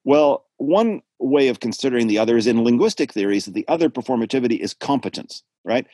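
Guy musing out loud on a video call, voice bouncing up and down, dry close sound. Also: medium pitch, no echo. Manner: thoughtful, digressive, lively intonation. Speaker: art theorist guest. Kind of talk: reflective spoken lecture-like talk.

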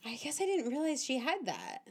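Chatty woman podcast co-host, quick reactions. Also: sits high, wildly swooping pitch, a little mellow, slightly rough. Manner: reactive, short interjections and curious asides. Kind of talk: casual chat about a film.

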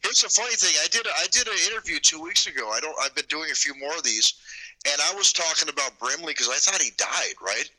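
A man's voice: fairly high pitch, animated, fast, bright, forceful tone, poor audio. A man in his forties, a guest in a casual chat, telling rambling, self-mocking stories. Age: 40s.

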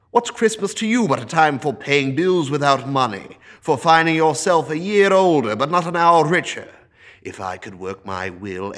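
Man voicing a bitter old miser for a storybook, snapping, lively pitch. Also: breathy edge, heavily acted. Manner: snapping, scornful. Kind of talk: storybook character reading.

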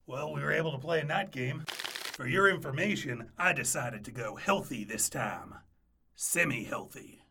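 A woman playing a defensive, penny-pinching parent, raspy and argumentative, in a comic audio drama. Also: low voice, normal speed, background noise. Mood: cheerful, defensive. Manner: excitable, argumentative. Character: defensive, penny-pinching snack-bringing parent at a ball field. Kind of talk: audio drama comedy acting.

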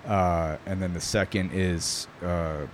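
A man's voice: sits low, fairly even intonation, takes it slowly, a little hiss or hum. A man from New York who grew up speaking Italian first, a guest talking casually in an interview, thoughtful, with many fillers. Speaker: guest, knife maker.

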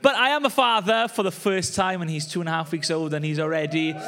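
High-voiced Welsh pastor. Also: male voice, steady tone, quick pace, a bit bright. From Wales. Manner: chatty, joking asides with laughs. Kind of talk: conversational sermon.

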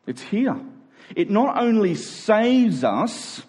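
A man preaching to a congregation in an emphatic but conversational way, stretching key words slowly and slipping into informal asides. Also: sits high, very animated, a little mellow. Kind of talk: sermon to a congregation.

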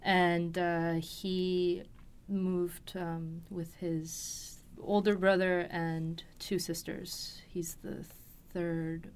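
A woman's voice: on the low side, little pitch movement, very slow, hardly any pauses, clean tone. A woman, telling a personal story in an interview, hesitantly piecing her thoughts together. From Los Angeles, USA. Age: 20s. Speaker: interview guest. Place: recording studio.

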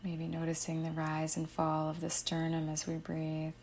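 A woman narrating a guided meditation in a soothing, unhurried, gently instructive manner, letting her phrase endings fall softly. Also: sits low, monotone, slight background noise.

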